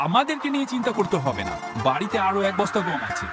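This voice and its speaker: An actor playing a kindly elderly village father in an animated story, gentle, caring and insistent. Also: mid-range voice, fast, lightly acted. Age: older. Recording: loud background music.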